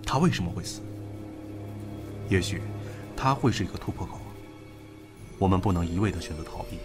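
A man acting in a Chinese audio drama as a brusque, analytical young investigator who speaks in a deliberate, commanding way. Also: deep voice, lively intonation, over music. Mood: grave, analytical.

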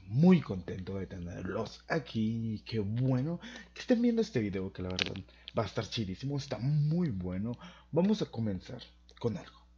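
A young man with a Mexican accent, chatting excitedly and slangily while reacting to videos on a headset mic in a small room. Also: lowish voice. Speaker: reaction youtuber. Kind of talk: casual reaction commentary. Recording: dull lo-fi sound.

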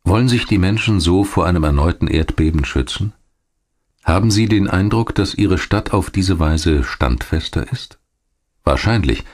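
A man reading scripted documentary narration in a measured, clearly articulated storytelling manner. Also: low-pitched, a little mellow. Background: music behind the voice.

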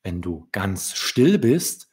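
A man in his thirties reading a story aloud to young children, gently addressing the listener directly. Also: low-pitched, very animated, takes it slowly. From Germany. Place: small room with wood-panelled wall. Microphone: desk microphone with pop filter, close.

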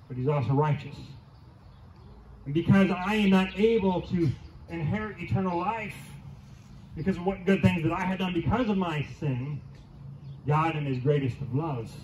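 A man's voice: fairly high pitch, noisy background. Man preaching outdoors over a PA. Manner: conversational preaching, asks the crowd questions, repeats key phrases. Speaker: teaching pastor. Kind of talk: outdoor sermon. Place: church lawn outdoors, congregation under a tent. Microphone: handheld mic through PA speakers, filmed from afar. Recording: distant, roomy outdoor PA sound.

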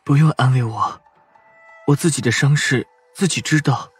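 An actor playing a wounded, weary young man in an audio drama, breathy and sighing. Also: mid-range voice, normal speed, faint music behind.

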